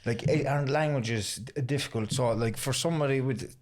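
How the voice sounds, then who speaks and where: A man's voice: medium pitch, a little fast. A middle-aged Irish man hosting a podcast, easygoing and chatty with casual asides. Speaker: podcast host.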